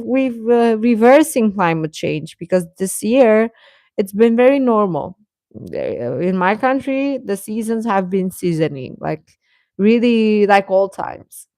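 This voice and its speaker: A woman's voice: on the high side, a little mellow, no echo. Casual woman chatting in a dry close space. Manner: informal, halting, often repeats short phrases. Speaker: livestream host chatting with viewers. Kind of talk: casual live chat, answering comments.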